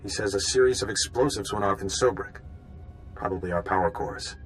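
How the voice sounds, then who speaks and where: An actor playing an anxious, conscientious undercover informant in a video game, hesitant and deferential. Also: male voice, low voice, brisk, slight background noise, natural voice, poor audio. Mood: subdued, dutiful.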